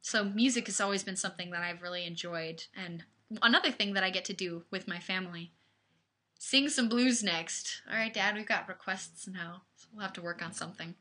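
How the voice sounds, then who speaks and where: A woman's voice: medium pitch, brisk, sharp tone. A woman in her twenties chatting casually to viewers on a webcam livestream from home, rambling with lots of fillers and restarts. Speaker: livestream host. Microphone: webcam microphone.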